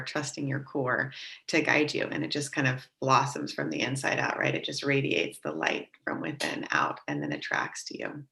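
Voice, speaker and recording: A woman hosting an interview, asking open questions in a gently encouraging way. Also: low-pitched, vocal fry. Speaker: podcast host.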